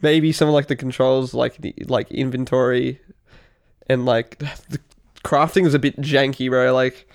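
A man's voice: mid-range voice, little pitch movement, normal speed, creaky. A young man co-hosting a casual podcast, congested, rambling with lots of "like" and swearing. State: congested, snotty. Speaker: podcast co-host. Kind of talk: casual chat.

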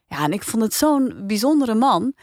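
A woman's voice: on the high side, big pitch swings, normal speed, a little mellow, dry recording, studio quality. A woman with a Netherlandic Dutch accent, hosting a podcast panel in casual discussion. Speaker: podcast review panel host.

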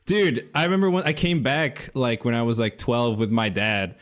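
Easygoing man in casual chat, laughs often, mid pitch. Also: lively intonation, normal speed. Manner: laid-back, laughs often.

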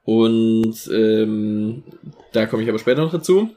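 A man's voice: fairly low pitch, measured pace, dark tone. A man co-hosting a podcast, recapping a series episode in a rambling way with many fillers. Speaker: podcast co-host summarizing an episode.

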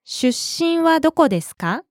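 A woman with a very high voice models short phrases for learners to repeat. She speaks crisply and deliberately, sometimes slowly syllable by syllable. The sound is close and dry. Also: very animated, a little mellow, dry recording. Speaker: native model speaker in a language lesson. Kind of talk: slow, careful phrase modeling.

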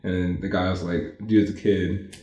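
Lowish young man telling stories, laughing. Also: little pitch movement, moderate pace, mellow, reverberant. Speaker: podcast co-host. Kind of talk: casual movie chat.

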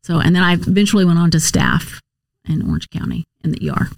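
A woman's voice: lowish voice, quick pace, creaky, no room sound. An American woman answering interview questions conversationally, in a candid, explanatory way with emphatic repetition. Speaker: interview guest, nurse case manager and former Marine officer.

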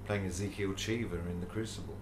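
A man hosts the interview and nudges his guest along with short questions and remarks. His voice sits low. The room sounds roomy, with faint noise in the background. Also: fairly even intonation, fast. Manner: brief prompting questions and affirmations.

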